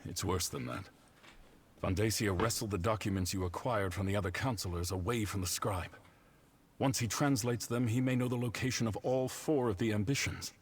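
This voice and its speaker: An actor playing a weary, shaken councillor in a video game, speaking in a grave, measured, formal way with a husky voice. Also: male voice, lowish voice. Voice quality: husky, rough voice. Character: weary, shaken male councillor betrayed by a colleague. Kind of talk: video game character dialogue.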